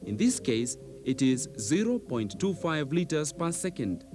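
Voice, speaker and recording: Male instructional narrator, slightly high pitch, measured delivery, precise enunciation, old videotape soundtrack, light music behind. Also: very animated. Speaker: training film narrator. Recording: old VHS training film soundtrack.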